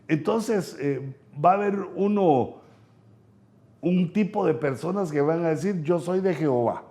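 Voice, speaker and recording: Pastor guy teaching bible verses, mellow warm tone, voice jumps up on quotes. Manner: lively preaching, pitch rising sharply on quoted verses, frequent "eh" fillers.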